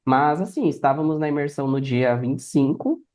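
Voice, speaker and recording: A man's voice: on the high side, expressive, fairly quick, darkish tone. A young Brazilian man speaking as a podcast guest, explaining and telling anecdotes in a conversational way. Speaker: podcast guest, affiliate marketer. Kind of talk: conversational interview answers.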